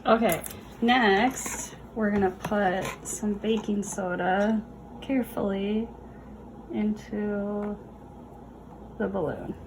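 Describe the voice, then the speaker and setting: A woman's voice: medium pitch, steady tone, slow, long pauses. A woman presenting for children in a read-aloud and casual demonstration style, with a noisy recording. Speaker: storytime presenter.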